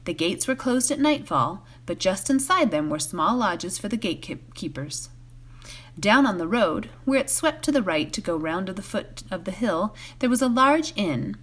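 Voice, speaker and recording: Female volunteer storyteller, mid-pitched and expressive, with lively melody for bedtime novel narration. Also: moderate pace, slightly rough.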